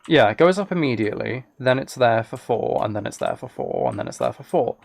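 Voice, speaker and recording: Gravelly-edged man chatting on a livestream. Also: mid-range voice, huge pitch swings, a little fast. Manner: hesitant, thinking aloud in fragments. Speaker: live streamer. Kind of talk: casual livestream chat while playing a video game.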